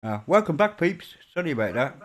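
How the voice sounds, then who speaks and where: A man's voice: fairly high pitch, sing-song, fairly quick. A man with a Birmingham accent hosting a casual live stream chat, talking loosely and repeating words as he goes. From England. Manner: chatty, stumbles and repeats words. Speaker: stream host.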